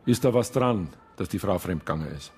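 An actor playing a calm, matter-of-fact police officer in a radio crime drama, measured and inquisitive. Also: male voice, sits low, faint music behind. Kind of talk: naturalistic German radio crime drama acting.

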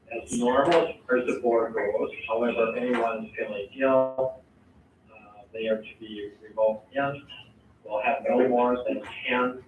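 A man's voice: medium pitch, slight background noise. Guy running a school board meeting, lots of ums, super echoey tinny far-off audio. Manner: halting, many fillers and restarts. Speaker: board chair.

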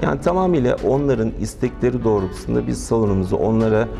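A man's voice: medium pitch, expressive, fast, gravelly, mellow, faint music behind. A man in his forties from Turkey, a wedding hall owner answering interview questions hesitantly, with many filler sounds, recorded indoors in a decorated hall. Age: 40s. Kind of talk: business interview.

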